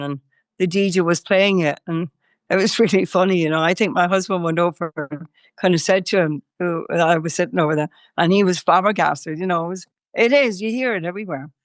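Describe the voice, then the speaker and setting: A woman's voice: fairly low pitch, dry recording, studio quality. An older woman from Ireland, a singer interviewed as a guest, talking in a rambling way with many fillers and restarts while chewing gum. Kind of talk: casual interview chat.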